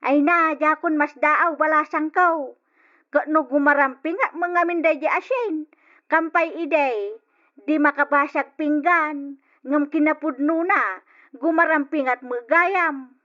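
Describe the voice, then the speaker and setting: A woman's voice: high-pitched, unhurried, lo-fi sound. An actress playing a nosy, judgmental older woman, gossiping emphatically in an old-style radio drama. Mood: nosy disapproval.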